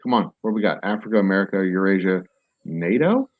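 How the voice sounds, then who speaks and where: A man's voice: deep voice, lively intonation, a little fast, no echo, phone-quality sound. A man casually commenting on a strategy game as he plays, rambling and self-mocking with many fillers. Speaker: gaming streamer. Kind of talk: casual let's-play commentary. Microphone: desk microphone.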